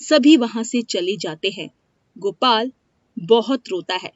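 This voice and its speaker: Woman narrator for moral tales, unhurried delivery, dry and slightly dull recording. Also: fairly high pitch, expressive, dry recording. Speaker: storyteller. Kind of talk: scripted moral-story narration. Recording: dry, dull lo-fi studio sound.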